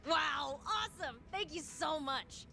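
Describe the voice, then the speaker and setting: A woman's voice: mid-range voice, normal speed, soft background music, lightly acted. An actress voicing a cheeky, upbeat, streetwise young woman fixer in a video game, chatty and slangy. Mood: excited, grateful. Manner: slangy, breezy, expressive. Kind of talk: English video game voice acting.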